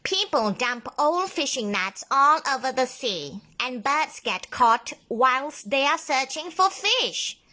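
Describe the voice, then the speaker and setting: A woman's voice: high-pitched, sing-song, slow pace, few pauses, bright, forceful tone, pitched above the performer's own voice, heavily acted. A woman voicing a small, plucky male octopus in a children's story, assertive and exclaiming. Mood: sighing.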